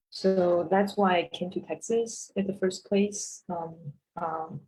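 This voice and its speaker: A woman's voice: fairly low pitch, a little mellow, a little hiss or hum, rough recording. A woman from Taiwan presenting her sculpture work on a video call, talking hesitantly with many fillers. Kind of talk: casual presentation.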